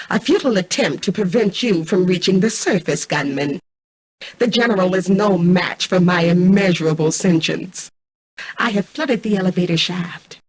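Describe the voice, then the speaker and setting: A woman voicing a cold, arrogant mainframe intelligence in a video game, speaking in a formal, measured, commanding way. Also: fairly low pitch, slightly rough. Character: cold, arrogant female mainframe artificial intelligence, calculating and imperious. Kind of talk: scripted video game voice acting.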